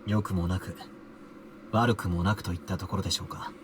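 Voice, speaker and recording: A courteous, composed male game character speaking formal Japanese, played by an actor. His voice is fairly low and runs very fast, over faint background noise. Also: steady tone, natural voice. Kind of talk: Japanese video game voice acting.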